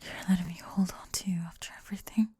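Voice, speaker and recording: A woman playing a remorseful, vulnerable young woman in an intimate emotional ASMR roleplay, speaking haltingly and tenderly in a breathy, often whispered voice, close to the mic. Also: on the low side, fairly even intonation, a little mellow, no echo. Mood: vulnerable, hushed. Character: remorseful, vulnerable young woman confessing to someone she rejected. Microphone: close, dry microphone.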